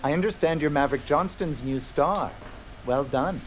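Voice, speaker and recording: A man playing a prissy personal assistant in a video game, formal and condescending. His voice sits fairly high and he fusses over every phrase, with faint ambience behind him. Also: moderate pace, slight background noise, natural voice. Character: prissy, officious personal assistant to colony leaders. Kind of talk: video game character dialogue.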